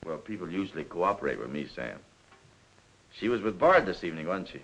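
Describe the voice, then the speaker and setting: A man's voice: sits low, sing-song, brisk, vocal fry, a bit bright, full character voice. A man playing a smug, scheming political operator in a 1940s crime film, glib and falsely chummy. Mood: oily, insinuating. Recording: noisy old 1940s film soundtrack.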